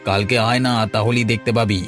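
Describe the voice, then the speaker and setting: A man's voice: lowish voice, steady tone, quick pace, fairly bright tone, soft background music, close to an everyday voice. A man voicing a shifty, irritable married villager in a cartoon, blustering and dismissive. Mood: evasive, scheming. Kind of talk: cartoon dialogue acting.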